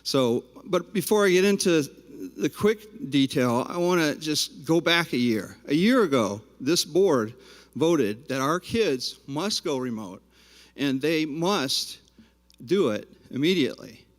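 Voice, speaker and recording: A man's voice: on the high side, lively intonation, a little slow. A man speaking as a parent during public comment at a school board meeting, confrontational and addressing board members directly. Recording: reverberant hall.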